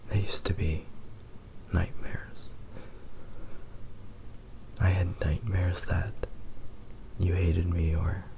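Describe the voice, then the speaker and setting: A man playing a possessive, doting fox-boy husband in an ASMR roleplay, murmuring intimately and haltingly. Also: low-pitched, little pitch movement, background noise, heavily acted. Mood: adoring, soft.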